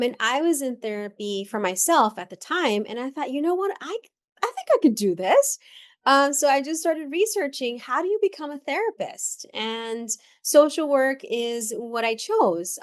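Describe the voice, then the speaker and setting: A middle-aged woman from the Dominican Republic, a therapist guest telling her career story in a reflective way with many ums and restarts. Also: on the high side. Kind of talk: conversational interview.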